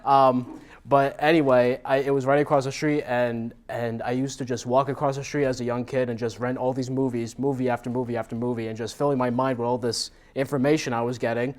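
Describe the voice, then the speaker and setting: A man's voice: mid-range voice, little pitch movement, brisk. An American man from New Jersey giving an informal talk as a guest speaker, telling stories with self-deprecating animation. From New Jersey, United States. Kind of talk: informal autobiographical talk to a meetup audience.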